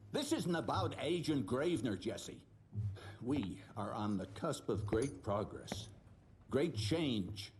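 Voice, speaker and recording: A man's voice: medium pitch, sing-song, takes it slowly, background noise. An actor playing a stern, condescending middle-aged man who directs an institute and lectures his son in a game cutscene. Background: music.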